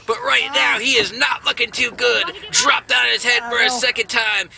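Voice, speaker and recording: A man giving excited, dramatic play-by-play wrestling commentary, emphatic and often shouting. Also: extremely high pitch. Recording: clearly noisy background.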